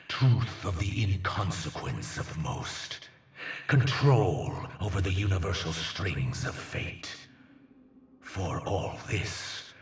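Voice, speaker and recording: A man playing a proud, menacing alien conqueror in a video game, speaking in a grandiose, theatrical, deliberate way with hushed emphasis. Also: sits low, creaky, reverberant, recorded from a distance, heavily acted. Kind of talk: dramatic video game villain monologue.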